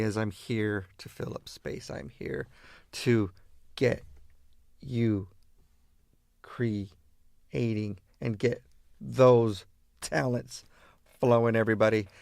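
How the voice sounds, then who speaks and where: A man's voice: mid-range voice, vocal fry, dry recording, studio quality. A man from East Los Angeles casually hosting a radio show between songs.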